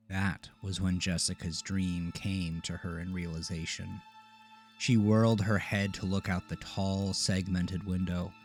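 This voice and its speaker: A man narrating a scripted horror story with deliberate, dramatic storytelling. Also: low voice. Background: music.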